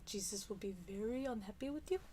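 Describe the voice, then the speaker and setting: A woman's voice: medium pitch, fast, background noise, natural voice. A woman voicing her devout elderly grandmother, nagging insistently for the family to come and pray. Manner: insistent, scolding.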